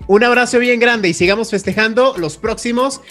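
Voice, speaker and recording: A man's voice: extremely high pitch, moderate pace, a bit bright, faint music behind. A man with a Mexican accent hosting a live panel in an effusive, courteous way. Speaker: host.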